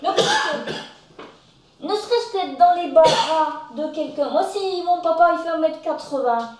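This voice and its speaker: High-voiced woman in an echoey room. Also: slow pace. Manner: chimes in, finishes thoughts with lively examples. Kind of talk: conversational discussion. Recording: very echoey room, distant mic.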